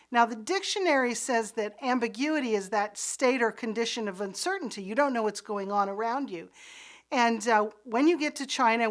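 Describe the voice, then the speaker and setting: A woman's voice: a little high, a bit bright. A middle-aged woman raised in Pakistan, giving a recorded course lecture in an earnest, explanatory way with occasional restarts.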